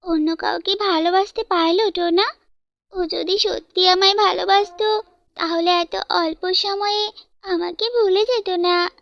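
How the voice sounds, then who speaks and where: Lady doing a really high little girl bird, lovesick and pleading, slow talking, dull dry sound. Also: steady tone, gravelly, no echo, heavily acted. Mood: heartbroken. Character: lovesick young female bird, tearful and pleading.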